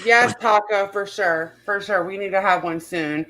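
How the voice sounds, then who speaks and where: A woman's voice: medium pitch, normal speed, fairly bright tone, poor audio. A woman hosting a casual group livestream, chatting and reading comments aloud. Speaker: livestream host.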